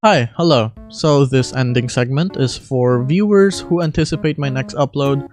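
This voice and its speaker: Casting: young adult online host, medium pitch, casual, self-deprecating, music underneath. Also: normal speed, darkish tone. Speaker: video host and amateur voice impressionist. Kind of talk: casual spoken announcement to viewers.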